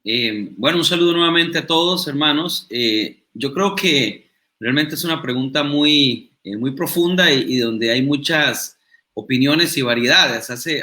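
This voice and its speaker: A man guest on a church talk show, giving a measured answer with hesitant fillers and storytelling. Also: on the high side, sharp tone. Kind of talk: thoughtful discussion answer. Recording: echoey room.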